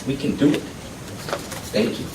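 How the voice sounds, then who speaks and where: A man from Colorado giving earnest, pleading testimony at a legislative public hearing, building to emphatic appeals. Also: moderate pace. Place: legislative committee hearing room. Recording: echoey hearing room, distant mic, noisy.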